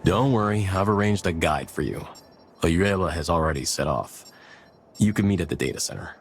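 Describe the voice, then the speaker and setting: An actor playing a composed commander in a video game, briefing in a measured, assured way. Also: male voice, low voice, husky, soft background music. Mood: composed, businesslike. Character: composed male mission commander giving a briefing. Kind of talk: scripted video game voice acting.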